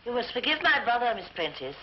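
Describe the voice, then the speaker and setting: An actress playing a prim, coldly polite older sister with formal, cutting delivery in a 1940s British film. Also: female voice, fairly high pitch, sing-song, fast. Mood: acidly cutting. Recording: muffled, hissy 1940s film soundtrack.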